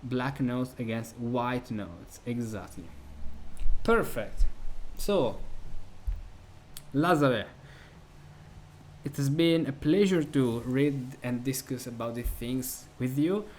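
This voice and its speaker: A man's voice: mid-range voice, slow, a little mellow, a little hiss or hum, poor audio. A man from Italy speaking English with an Italian accent, talking casually about an old music book as host, with many restarts and easy laughter. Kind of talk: casual discussion of a music treatise.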